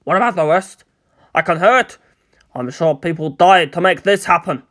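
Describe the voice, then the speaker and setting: A man's voice: sits high, lively intonation, moderate pace, fairly bright tone. A man voicing a stern, unsettled army general in a story, demanding and snarling. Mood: angry demanding.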